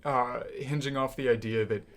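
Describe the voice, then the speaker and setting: A young man co-hosting a student podcast, talking casually about movies with animated, hyperbolic reactions. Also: medium pitch, moderate pace. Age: young adult. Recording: noticeable background room noise.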